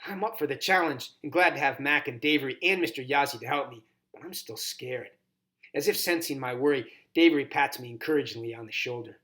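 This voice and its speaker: A man narrating a first-person children's story as a volunteer reader, expressive and scripted. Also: mid-range voice, a little fast.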